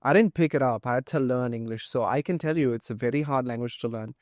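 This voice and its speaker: Male podcast guest from Tamil Nadu, native Tamil speaker, relaxed and reassuring, medium pitch. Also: fast talker, no echo, clean studio sound. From Tamil Nadu, India. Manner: reassuring, uses "look" and "you know" a lot. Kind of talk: casual conversational podcast chat.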